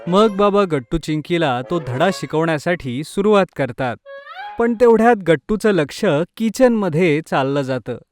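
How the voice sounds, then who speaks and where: A man with a slightly high voice reads scripted narration for a children's story. Faint music plays underneath his telling. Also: animated, moderate pace.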